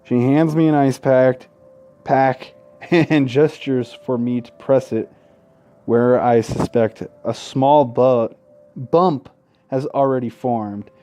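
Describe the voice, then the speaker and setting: A man's voice: medium pitch, steady tone, slow pace, warm tone. A man reading a visual novel aloud as a gaming host, tripping over words, correcting himself and dropping casual asides and swearing. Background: faint game music.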